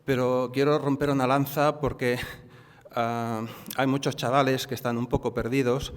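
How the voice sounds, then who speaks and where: Man, mid-pitched, halting with lots of fillers, speaking in a hall with long reverb. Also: little pitch movement. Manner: hesitant, many fillers and repeated words. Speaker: audience member, plant nursery owner. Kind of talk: spontaneous comment from the floor. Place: conference hall.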